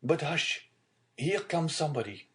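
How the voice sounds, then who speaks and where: A man's voice: a little high, animated, measured pace, a bit bright, voice pushed up. A man voicing an earnest, eager young sailor, a devoted and impulsive son, in an audiobook. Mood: caring. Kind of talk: dramatic audiobook character reading.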